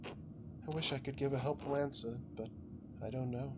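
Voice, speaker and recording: A man's voice: mid-range voice, steady tone, background noise, lightly acted. Man reading as a solemn, devout cloistered nun. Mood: gentle, consoling. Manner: measured, earnest, formal. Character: devout, solemn enclosed nun, gentle visionary.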